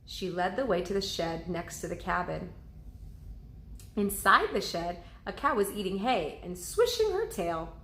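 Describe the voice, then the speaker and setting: A woman's voice: fairly low pitch, sing-song, a little slow, fairly bright tone. A woman reading a children's storybook aloud in the manner of a teacher. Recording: roomy, echoing indoor sound.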